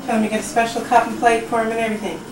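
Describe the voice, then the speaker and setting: A woman's voice: fairly high pitch, little pitch movement, racing, over music. A woman chatting casually at home, prompting a child, caught on a camcorder microphone. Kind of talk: casual chat in a home video. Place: home kitchen, indoors. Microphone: camcorder mic, away from speaker. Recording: distant, roomy, very noisy camcorder audio.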